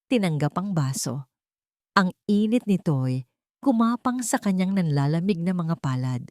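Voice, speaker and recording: A woman narrates a romantic drama in a fairly low voice. The recording is dry and close, with very little room sound, and the delivery is that of a scripted storyteller. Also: big pitch swings, a little slow, darkish tone, no echo.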